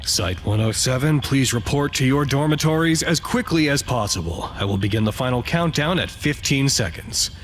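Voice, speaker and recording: An actor playing a wry, excitable staff announcer at a secret research facility in an audio drama, his formality prone to fluster. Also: medium pitch, moderate pace, few pauses, slight background noise, lightly acted. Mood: dry, official.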